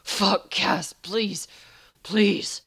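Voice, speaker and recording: A woman's voice: fairly low pitch, a little slow, a bit bright, slightly rough, exaggerated voice. A woman voicing a blunt, flustered man in an audiobook romance, urgent and impatient, between whining and growling. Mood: urgent, pleading. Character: impatient, flustered, gruff man, pleading with his lover.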